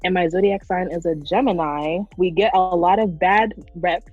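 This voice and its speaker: A woman's voice: on the low side, fairly even intonation, normal speed, a little mellow, husky, dry recording, highs cut off, soft background music. A woman in her twenties from Long Island co-hosting a casual podcast, speaking confidently and thoughtfully. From Long Island, New York. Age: 20s. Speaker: podcast co-host. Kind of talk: casual group podcast chat.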